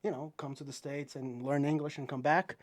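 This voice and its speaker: A Brazilian man with a Brazilian accent and Portuguese as his first language, a guest in a podcast studio, telling stories in casual, animated English and calling people "bro". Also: fairly high pitch, fairly quick. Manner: animated, says "bro" often, with a second-language phrasing. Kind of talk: casual storytelling.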